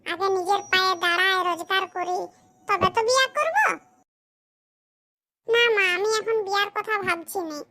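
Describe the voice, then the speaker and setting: A performer voicing a dutiful young village son in a comedy cartoon, with a shrill pitched-up voice. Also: moderate pace, long pauses, over a noisy crowd. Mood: earnest.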